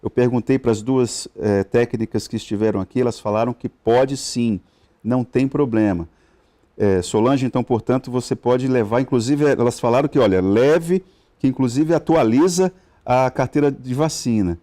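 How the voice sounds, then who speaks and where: A man's voice: medium pitch, moderate pace, dark tone. A male TV host interviewing a guest in a studio, talking with many fillers and restarts. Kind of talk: live TV interview.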